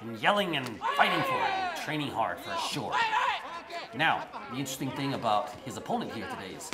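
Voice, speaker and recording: A man commentating live on kickboxing with casual banter, speaking excitedly and full of exclamations. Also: medium pitch, fairly quick. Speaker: fight commentator. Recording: loud music and crowd behind. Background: loud music and arena crowd.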